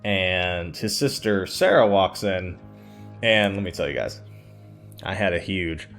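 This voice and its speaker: A man's voice: low voice, a little slow, a bit bright, faint music behind. A man telling personal stories casually to his online viewers, digressing, hesitating and circling back as he goes. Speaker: YouTube storyteller. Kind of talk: casual rambling personal storytelling.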